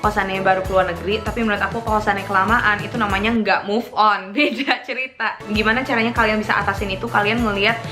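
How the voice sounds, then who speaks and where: A woman's voice: medium pitch, steady tone, quick pace, hardly any pauses, music in the background. A young woman from Indonesia answering viewer questions in a casual vlog, chatty and mixing English into her talk, laughing often. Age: young adult. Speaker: vlogger.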